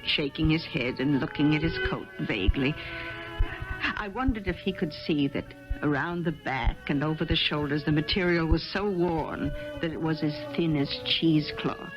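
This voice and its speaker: A woman's voice: low-pitched, moderate pace, music in the background, natural voice. An actress playing a dry, kindly, plain-spoken woman tailor in a 1970s radio drama. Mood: wistful, pitying. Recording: dull vintage 1970s radio broadcast.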